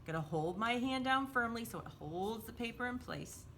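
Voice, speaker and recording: Woman, children's storytime host, lively swooping intonation, simple step-by-step craft directions, encouraging, faint-to-clear background noise. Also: a little high, normal speed. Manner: simple, encouraging instruction, often speaking of self in third person.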